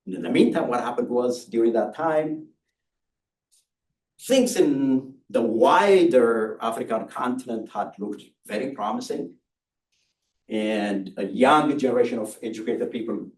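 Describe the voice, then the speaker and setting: Distant, animated Ethiopian-accented male lecturer. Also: medium pitch. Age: middle-aged or older. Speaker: university engineering lecturer. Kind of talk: public lecture with personal storytelling. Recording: roomy, distant microphone.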